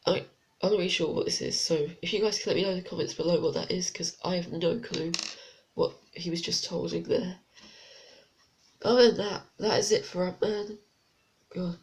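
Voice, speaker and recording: Congested reviewer, chatty and rambling. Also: medium pitch. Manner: chatty, rambling, unsure asides. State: blocked nose, congested. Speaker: toy set reviewer. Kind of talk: casual product review vlog.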